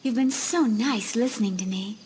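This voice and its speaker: A woman voicing a teenage girl's ghost in a mirror, soft, hushed and gently pleading. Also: a little high, moderate pace, a little hiss or hum, close to an everyday voice. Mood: coaxing. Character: faint ghostly teenage girl spirit in a mirror.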